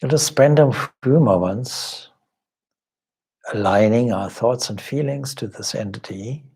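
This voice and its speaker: A man leading a guided meditation as a spiritual teacher, speaking in measured, deliberate short phrases with long pauses and formal, contemplative wording. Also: medium pitch, warm tone, breathy edge. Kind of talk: guided meditation, slow spoken instruction.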